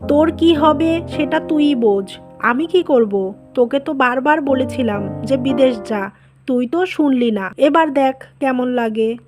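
A cold-hearted, money-minded mother from a cartoon family drama, played by a woman. Her voice is high and scheming, with soft music underneath. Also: a little slow. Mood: scornful. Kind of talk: dubbed cartoon drama dialogue.

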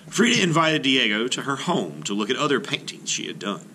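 A man reading a children's biography aloud like an art teacher, in an expressive storytelling way with sentences that trail off. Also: mid-range voice. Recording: noticeable background noise.

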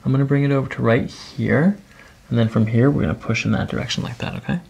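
Gravelly middle-aged male chiropractor giving soothing prompts. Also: medium pitch, steady tone, fast, a little mellow. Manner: short, soothing step-by-step prompts. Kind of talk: hands-on instructions to a patient during treatment. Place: small treatment office, indoors. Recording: faint noise behind voice.

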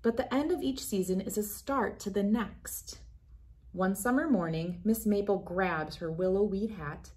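Woman, thirties, children's read-aloud host, expressive storybook delivery, mid-range voice. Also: medium pitch, a little slow. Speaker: host reading a picture book aloud.

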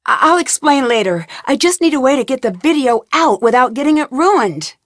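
A woman's voice: high-pitched, lively intonation, normal speed, no room sound, studio quality. A woman voicing a young woman detective in game voice lines, asking earnest, inquisitive questions in a polite tone. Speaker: voice actor. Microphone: studio mic, dry.

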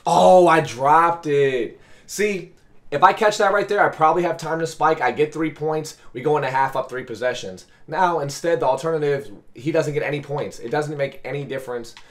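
A man in his twenties with a raspy, hoarse voice, giving live gameplay commentary as a coach in short, repeated, slangy phrases. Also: on the high side, moderate pace, a bit bright. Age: 20s. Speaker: gaming video host and coach. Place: small bedroom, indoors.

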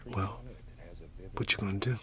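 A man doing soft-spoken ASMR roleplay, often whispering, talking in a halting, unhurried murmur as if to a friend beside him. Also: lowish voice, fairly even intonation. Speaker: ASMR creator.

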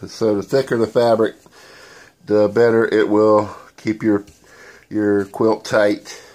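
A man's voice: fairly low pitch, flat delivery, slow, a little mellow. A man with a stuffy voice from a cold, giving a rambling, plain-spoken how-to demonstration into a handheld phone in a sewing room. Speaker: DIY channel host. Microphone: handheld phone camera.

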